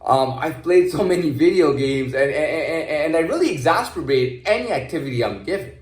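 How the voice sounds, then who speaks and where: A man's voice: fairly high pitch, lively intonation, a little fast. A man talking candidly in a casual, rambling personal monologue, repeating himself and checking that the listener follows. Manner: candid, repetitive, often checking with "you know what I mean". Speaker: vlogger telling personal stories. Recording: echoey, roomy sound.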